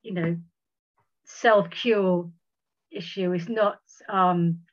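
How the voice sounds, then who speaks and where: A woman who stammers, talking haltingly in a casual conversation with repeated words. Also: lowish voice, steady tone. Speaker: guest sharing experience. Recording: dull lo-fi recording.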